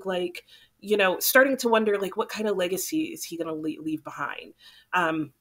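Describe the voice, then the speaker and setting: A young woman hosting her book channel, chatting casually to camera while a little sick, so her voice sounds slightly off. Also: mid-range voice. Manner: chatty, relaxed, rambling.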